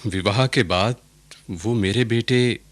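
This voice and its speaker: An actor playing a wealthy middle-aged merchant in a radio play, reserved, measured and curt with authority. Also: male voice, lowish voice, no room sound. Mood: cautious. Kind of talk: Hindi radio play acting.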